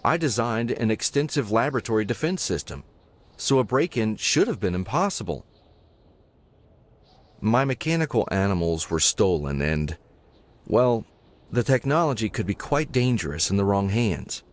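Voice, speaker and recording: A man's voice: fairly low pitch, very animated, moderate pace. An actor playing a formal, earnest male professor and inventor in a video game, with theatrical delivery. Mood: polite, concerned. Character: distressed male professor and inventor of mechanical animals. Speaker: game character. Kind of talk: scripted video game voice acting.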